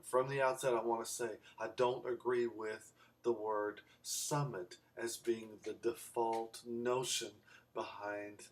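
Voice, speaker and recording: A man raised in Belgium, giving an informal explanatory lecture in a halting, deliberate way with long pauses. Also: mid-range voice, little pitch movement, distant mic. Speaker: amateur Bible scholar giving a video response.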